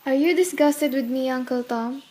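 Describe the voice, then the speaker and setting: A woman's voice: high voice, moderate pace, mellow, lightly acted. An actress playing a tender, secretive, wistful lady in a radio play. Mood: pleading, guilty.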